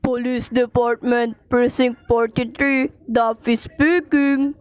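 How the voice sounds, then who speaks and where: A woman's voice: a little high, steady tone, slow pace, smooth, voice pushed down. A woman voicing a stolid male police sergeant in an amateur radio play, unconcerned and procedural in manner. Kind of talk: amateur radio drama acting.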